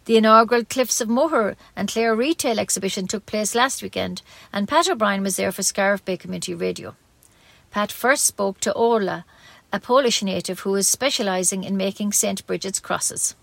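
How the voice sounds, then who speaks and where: A woman radio presenter reads a scripted link into the next report. Her voice carries a gravelly fry. The sound is dry and close, with no room around it. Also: medium pitch, normal speed, fairly bright tone, dry recording. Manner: read from script.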